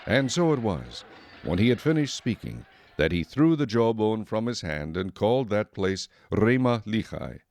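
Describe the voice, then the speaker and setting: A man's voice: lowish voice, very animated, normal speed, darkish tone. A man narrating scripture as a scripted audio drama narrator.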